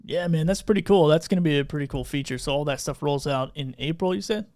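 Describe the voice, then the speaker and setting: Higher-voiced man hosting a casual interview. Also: fast, darkish tone, no room sound, studio quality. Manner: informal, brief acknowledging replies and questions. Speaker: podcast and live stream host. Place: remote live stream from desktop or laptop.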